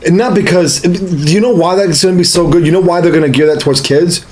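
A man's voice: high-pitched, fast, a little mellow. A man in his thirties chatting casually as a podcast co-host, giving his opinions emphatically. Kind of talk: casual chat. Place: small home studio room. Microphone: podcast mic on desk boom arm.

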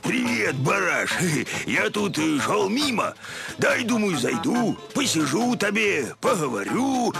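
An actor playing an awkward cartoon animal who talks in a hesitant, meandering, casual way. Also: male voice, high voice, big pitch swings, measured pace, vocal fry, over music, heavily acted. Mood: sheepish. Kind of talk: cartoon voice acting.

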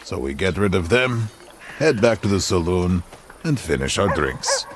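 A man's voice: low voice, big pitch swings, unhurried, background noise. An actor playing a cocky, easygoing Wild West outlaw in a video game, drawling and dropping Spanish words into his English. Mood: plotting. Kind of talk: video game cutscene acting.

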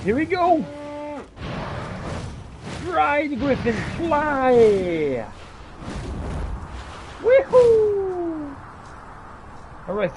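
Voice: high-pitched, sing-song, extremely slow, pauses often, background noise. A video game player doing in-character roleplay commentary, exclaiming and talking directly to the game's creatures and characters. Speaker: gaming video host roleplaying while playing.

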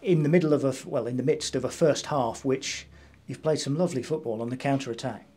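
A man's voice: medium pitch, fast talker, mellow, husky. A man interviewing a football manager after a match, posing conversational, leading questions. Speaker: club interviewer.

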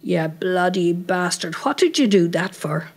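A woman's voice: on the low side, lively intonation, unhurried. A woman voicing a cantankerous old Irish woman in a story, indignant and scolding in an Irish accent. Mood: furious, scornful.

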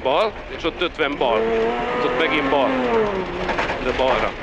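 A man's voice: mid-range voice, lo-fi sound. A man calling rally pace notes as co-driver in short, clipped, urgent instructions inside a moving car. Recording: loud roaring car engine noise. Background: race car engine and driving noise.

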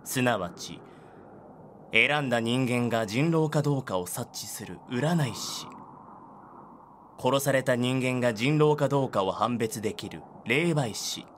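Expressive male drama narrator. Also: medium pitch, measured pace, a little hiss or hum. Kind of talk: scripted audio drama narration.

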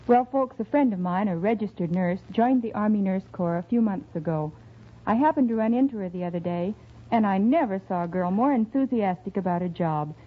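A woman's voice: mid-range voice, animated, fairly quick, narrow, tinny audio. A woman giving an earnest scripted public appeal on an old 1940s radio broadcast. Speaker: radio show performer making a public appeal.

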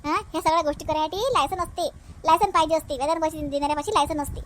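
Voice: high-pitched, flat delivery, very fast, a bit bright. A cartoon voice playing an emphatic, quarrelsome village housewife in a Varhadi Marathi accent from Vidarbha, repeating words in comedy dialogue. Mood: defiant. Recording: noticeable background noise.

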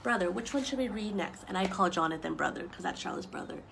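A young woman reading picture books aloud to children, expressive and explaining things simply as she goes. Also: mid-range voice, fast talker, vocal fry, background noise. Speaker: story time reader for children. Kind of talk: children's picture book read-aloud with asides. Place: outdoors on a wooden deck among trees.

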